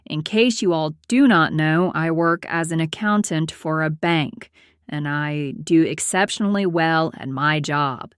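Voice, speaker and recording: A woman playing a prim, aloof accountant in her late twenties who narrates her own story in a deadpan, formal way. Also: sits low, measured pace, clean tone, dry recording, studio quality. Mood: matter-of-fact. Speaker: host and narrator of a character story series. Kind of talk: scripted first-person storytelling.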